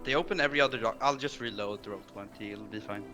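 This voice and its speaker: A man playing a tabletop game, flustered, loud and full of fillers. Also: on the low side, quick pace, loud music behind the voice. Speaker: player. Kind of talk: tabletop roleplay over voice chat.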